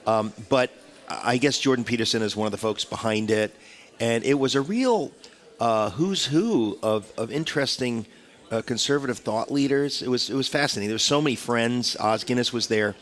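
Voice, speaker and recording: A man's voice: medium pitch, normal speed. Stuffy-nosed man hosting radio talk. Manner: rambling, chatty, many restarts. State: stuffed up with a cold. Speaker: radio show host. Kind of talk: casual radio interview chat. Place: radio studio.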